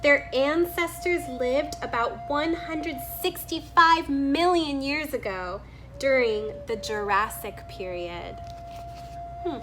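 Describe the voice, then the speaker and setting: Lady doing a read-aloud, slightly high voice, really unhurried, music playing behind her. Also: rough recording. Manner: pauses to remark on the illustrations. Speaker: picture book reader. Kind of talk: read-aloud with spontaneous comments on pictures.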